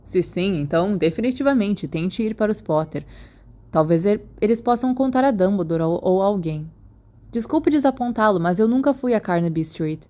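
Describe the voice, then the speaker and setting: A woman's voice: medium pitch, animated, moderate pace, warm tone. Brazilian woman reading a story aloud. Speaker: podfic narrator. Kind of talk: scripted audiobook narration of fan fiction letters.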